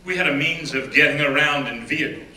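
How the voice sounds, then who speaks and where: A man giving a first-person dramatic reading of an oral history, measured and deliberate, often dropping to hushed phrases. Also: a little high. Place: stage or event room. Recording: roomy, distant mic with faint background noise.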